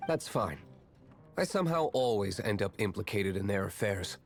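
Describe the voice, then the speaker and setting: An actor playing a cool, guarded young tavern owner in a video game, speaking in a reserved, businesslike way. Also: male voice, fairly low pitch, lively intonation, moderate pace. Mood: aloof, guarded. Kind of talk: video game cutscene acting. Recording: game music behind the voice. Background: background music.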